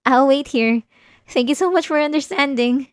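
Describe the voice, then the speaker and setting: An obsessive, manipulative young woman in therapy, acted by a woman, talks in a fairly high voice for an ASMR roleplay. She is eagerly polite and hesitant, with a nervous, defensive edge. The sound is very dry and close to the microphone. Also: moderate pace, no room sound, natural voice. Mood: earnest, pleading. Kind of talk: scripted ASMR roleplay acting.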